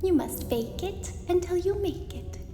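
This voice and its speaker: A woman acts as a bossy young girl warden who issues clipped, imperious orders in an ASMR roleplay. Her high voice is deliberately slow, ringing in a long hall reverb. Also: lively intonation. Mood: cold, commanding. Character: stern, bossy young girl prison warden, curtly commanding. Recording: echoey hall reverb over clear music.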